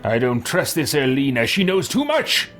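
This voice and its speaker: A man voicing a brooding, suspicious and sly fantasy adventurer in a video game roleplay, with theatrical, drawn-out delivery. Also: mid-range voice, huge pitch swings, a bit bright, soft background music.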